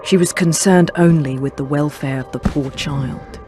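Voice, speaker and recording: A man narrating a game's story in a grave, dramatic, scripted storybook style with deliberate phrasing. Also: fairly high pitch, close to an everyday voice. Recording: loud music behind the voice.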